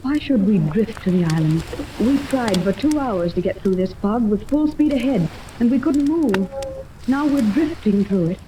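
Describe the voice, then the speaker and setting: A woman's voice: mid-range voice, lively intonation, normal speed, lightly acted. An actress playing a determined, anxious well-to-do woman, speaking earnestly in 1930s radio serial style. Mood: anxious, puzzled. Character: anxious, determined wealthy mother searching for daughter. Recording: noisy 1930s radio transcription.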